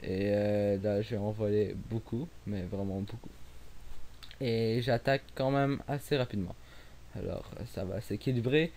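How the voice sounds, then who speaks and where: A man's voice: lowish voice, fairly even intonation, measured pace, dark tone. A young man with a Québécois French accent, commenting casually on his own gameplay, rambling with many fillers and restarts. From Quebec. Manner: rambling, many fillers and restarts, sometimes drops to near mumble. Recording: faint hiss.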